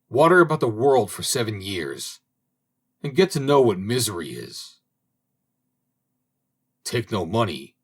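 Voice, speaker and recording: A man reading an old fairy tale aloud as an audiobook narrator, in a slow, expressive manner. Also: lowish voice, slightly rough. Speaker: audiobook reader. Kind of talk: storybook narration.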